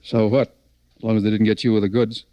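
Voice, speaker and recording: A man's voice: lowish voice, flat delivery, quick pace, dark tone, natural voice. A man playing an irritable, suspicious, callous criminal sidekick with snappy slang in a 1940s radio drama. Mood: callous, impatient.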